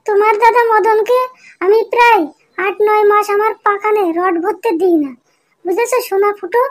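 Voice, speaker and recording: Clingy, high-voiced flirty sister-in-law Boishakhi. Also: male voice, fairly even intonation, moderate pace. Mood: insistent, suggestive. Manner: coaxing, insistent, wheedling. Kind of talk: comic cartoon dialogue.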